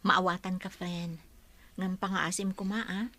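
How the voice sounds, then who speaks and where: A woman's voice: lowish voice, little pitch movement, a little slow, pushed and bright, dry recording. A woman with an Ilocano accent playing a grateful, heartsick friend in a radio drama. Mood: wistful.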